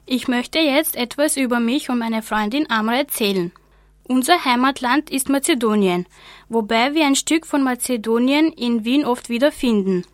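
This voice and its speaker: A woman's voice: sits high, no echo, clean studio sound. A sixteen-year-old girl from Austria whose first language is Macedonian, carefully reading a prepared text for a radio programme. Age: teens. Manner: careful, even read-aloud delivery. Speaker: student.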